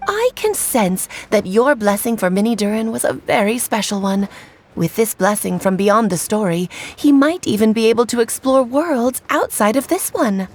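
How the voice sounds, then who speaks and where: High, lilting playful witch. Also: lively intonation, normal speed, a little mellow, faint music behind. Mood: musing, gracious. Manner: teasing, airy, theatrical. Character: playful, enigmatic witch and traveler of worlds. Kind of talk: anime video game acting.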